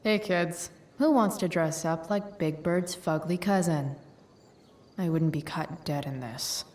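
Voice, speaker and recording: Sarcastic american teen rebel girl in a game, unhurried, lowish voice, bit of ambient noise. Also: a little mellow, distant mic. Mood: snarky. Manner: dry, sarcastic, slangy. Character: sardonic rebellious teenage girl, a punkish high-school dropout. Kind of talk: video game voice acting.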